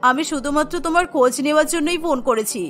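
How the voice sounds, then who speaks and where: A woman voicing a kindly older mother-in-law in a cartoon story, gentle, worried and placating. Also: high-pitched, racing, faint music behind, pitched below the performer's own voice.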